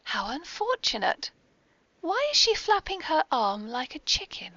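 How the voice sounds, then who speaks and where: Genteel, mischievous British hostess, played by a woman, formal and prim, fairly high register, muffled lo-fi podcast sound. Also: huge pitch swings, measured pace, sharp tone, dry recording, heavily acted. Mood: arch, amused. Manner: prim, formal, arch, polite. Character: genteel, mischievous lady mastermind with secret lair. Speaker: podcast host. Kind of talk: scripted comic audio drama. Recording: dull lo-fi podcast recording.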